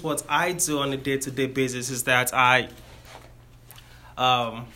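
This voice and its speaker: Nigerian man, bright, explaining plainly. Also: on the high side. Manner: friendly, explains step by step with self-corrections. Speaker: engineer giving a career talk. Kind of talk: informal explanatory talk to students.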